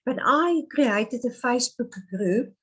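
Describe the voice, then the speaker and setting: A woman's voice: fairly high pitch, lively intonation, takes it slowly, fairly bright tone, breathy edge. A woman in her fifties with an Afrikaans accent, a native Afrikaans speaker, talking earnestly as an interview guest, with many restarts and fillers. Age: 50s. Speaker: guest, therapist. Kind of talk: casual interview chat.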